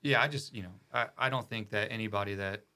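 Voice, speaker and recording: A man with a fairly low voice speaks in a loose, conversational podcast style. He is opinionated and emphatic, repeating phrases to drive a point home. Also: quick pace, sharp tone. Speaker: podcast guest, attorney. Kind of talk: casual opinionated podcast chat.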